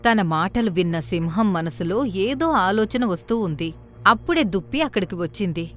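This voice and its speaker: A woman's voice: mid-range voice, lively intonation, normal speed, a bit bright. A woman reading scripted narration for a children's story.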